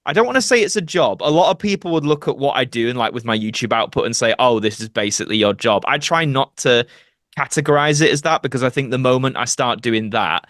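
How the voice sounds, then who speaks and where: Young adult male podcast guest, chatty and self-deprecating, mid-pitched, very little room sound. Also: expressive, fast, fairly bright tone, no room sound, clean studio sound. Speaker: podcast guest, actor and video creator. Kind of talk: casual interview chat.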